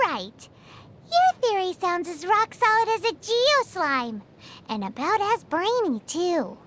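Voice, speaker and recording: A tiny floating creature from a game, a cheeky and teasing companion. Her voice sits extremely high and swings widely as she chatters, stretching her words out slowly. A faint background hiss is present. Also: big pitch swings, a bit bright, exaggerated voice. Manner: bubbly, teasing, refers to herself by name. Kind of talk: video game voice acting.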